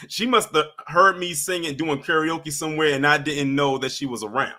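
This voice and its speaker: A man's voice: a little high, fairly even intonation, normal speed, bright, forceful tone. A man hosting a casual livestream, reading out viewer comments in a laid-back way with frequent chuckles. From US East Coast. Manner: laid-back, chuckles often, trails off and restarts. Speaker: livestream host.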